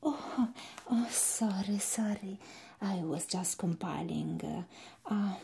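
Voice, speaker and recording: A woman playing a gentle, attentive hospital nurse in an ASMR roleplay, speaking in a soft, breathy, reassuring whisper. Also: medium pitch, exaggerated voice. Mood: polite, attentive. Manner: slow, hushed, reassuring, with pauses.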